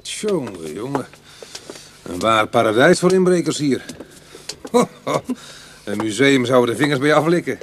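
A man playing a streetwise reformed burglar who tells his own uneasy story in a radio drama, husky and confiding. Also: mid-range voice, lively intonation, measured pace, long pauses, noisy background, lightly acted. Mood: admiring. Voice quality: husky, breathy. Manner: hushed confiding narration, exclamatory in dialogue.

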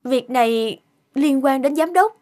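A woman's voice: high-pitched, a little slow, darkish tone. A woman voicing a polite, hesitant young office secretary in a cartoon. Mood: hesitant, concerned. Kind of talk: Vietnamese cartoon dubbing.

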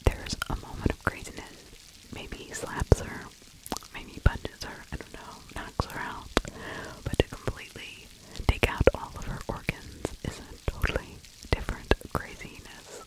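A woman's voice: moderate pace, rough recording. Whispering middle-aged woman, ASMR storyteller. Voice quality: breathy unvoiced whisper. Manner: conversational, opinionated. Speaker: true crime ASMR host, narrator. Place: small indoor room. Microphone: close microphone with furry windscreen.

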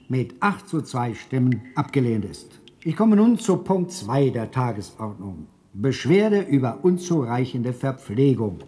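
An actor playing a pompous, indignant council chairman in a radio play, formal, officious and deliberate. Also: medium pitch, darkish tone, natural voice.

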